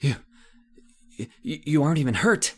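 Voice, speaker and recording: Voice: medium pitch, animated, moderate pace, close to an everyday voice. An actor playing a defiant, frightened doctor in an old-time radio horror drama, dramatic and emphatic. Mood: terrified.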